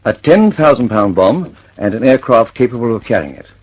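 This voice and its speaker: Determined British engineer, very animated. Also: male voice, medium pitch, a little fast, breathy edge, poor audio. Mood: determined, insistent. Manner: urgent, insistent, stammering slightly when flustered. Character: harried, determined British male aircraft engineer and inventor. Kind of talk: mid-century radio drama acting.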